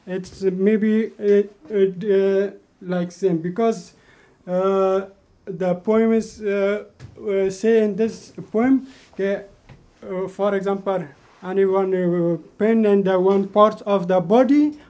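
Man, high voice, slow and hesitant, presenting his artwork in broken English. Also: fairly even intonation, mellow. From Afghanistan. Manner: hesitant, many fillers and restarts. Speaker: artist presenting an installation.

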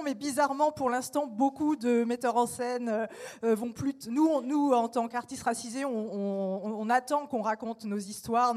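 Woman artist on a panel, higher voice, lots of "euh" but pushes her point hard. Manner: hesitant, many fillers, then emphatic. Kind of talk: panel discussion.

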